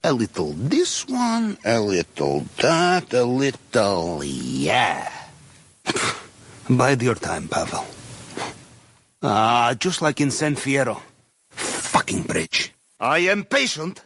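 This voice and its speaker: An actor playing a jovial, deferential Russian submariner in a video game, speaking courteous, slightly broken English with a Russian accent and wry dark humour. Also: male voice, mid-range voice, wildly swooping pitch, takes it slowly, pauses often, husky, exaggerated voice. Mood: muttering to himself. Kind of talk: video game character dialogue.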